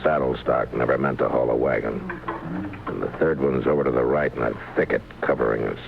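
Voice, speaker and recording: A man's voice: rumbling low, fairly even intonation, a little fast, gravelly, exaggerated voice. An American man playing a tough, terse, middle-aged U.S. Marshal in a 1950s radio western, clipped and authoritative. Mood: low and wary. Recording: tinny, noisy old radio recording.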